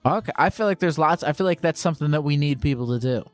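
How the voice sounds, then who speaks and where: A man's voice: a little high, soft background music. Teasing, profane male call-in host. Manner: teasing, profane, quick interjections. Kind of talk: call-in show banter.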